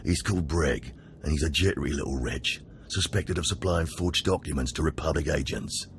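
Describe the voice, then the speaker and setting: An actor playing a wry, contemptuous older Sith jailer in a video game, with a sardonic drawl. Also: male voice, extremely low pitch, moderate pace, slight background noise. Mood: wry contempt. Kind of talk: video game cutscene acting.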